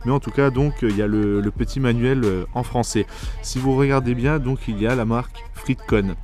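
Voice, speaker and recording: A man's voice: medium pitch, normal speed, darkish tone, faint music behind. A man giving an informal, hesitant, hands-on product review in casual slangy speech.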